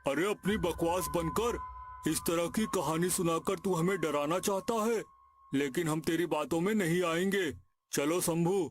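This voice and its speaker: A man playing a greedy, excitable village schemer in a cartoon story, speaking in exclamations. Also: on the high side, measured pace, slight background noise, lightly acted. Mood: threatening. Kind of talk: Hindi cartoon story acting. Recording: music behind the voice.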